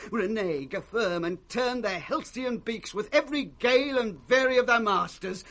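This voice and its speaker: Quarrelsome old serving man, British accent, high-pitched, forceful, insulting, declamatory, classical radio drama. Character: blunt, quarrelsome old servant loyal to his king. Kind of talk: 1960s BBC radio Shakespeare acting.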